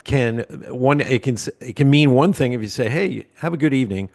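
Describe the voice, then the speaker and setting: A man's voice: medium pitch, expressive, a little fast, darkish tone. Man hosting a casual interview. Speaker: interview host. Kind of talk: casual chat.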